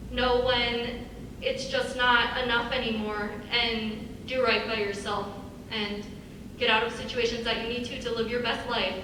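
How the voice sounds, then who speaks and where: A woman writer on a student panel, explaining her personal reading of a script. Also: fairly high pitch. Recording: echoey hall from far off, noisy.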